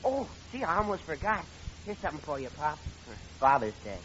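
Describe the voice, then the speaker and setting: An actor playing a shy, affectionate son in a 1940s radio comedy. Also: male voice, a little high, big pitch swings, brisk, a bit bright. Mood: bashful affection. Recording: dull, hissy 1940s radio recording.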